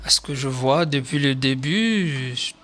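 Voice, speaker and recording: A young man co-commentates a live event. His voice is bright and forceful, his replies short and hesitant. Faint noise runs beneath him. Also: medium pitch, lively intonation, a little slow. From Democratic Republic of the Congo. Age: 20s.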